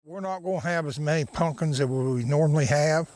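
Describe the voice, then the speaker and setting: A man who works as a farmer speaks in an unscripted interview. His voice is a little higher than most men's, and the sound is dry with hardly any room in it. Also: brisk, a little mellow, dry recording. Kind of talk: interview answers.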